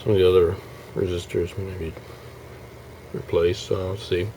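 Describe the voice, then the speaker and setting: A man giving a casual, halting walkthrough as a hobbyist showing his restoration work, thinking aloud as he goes. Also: deep voice, level tone, mellow, slight background noise, poor audio. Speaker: hobbyist radio restorer presenting his project. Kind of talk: casual informal video walkthrough.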